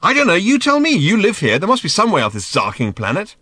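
A brash alien man played by a male reader in a comic sci-fi audiobook, vain and self-important. He is snappy and insistent, with lively swings of pitch. The recording is dry and close. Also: a little fast, no room sound. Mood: snappy impatience. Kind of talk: dramatized audiobook of comic science fiction.

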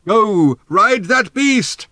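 A man voicing an imperious old beast herder in a storybook, shouting with drawn-out, emphatic words. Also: high-pitched, expressive, no room sound, pitched above the performer's own voice, heavily acted. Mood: urgent shouting.

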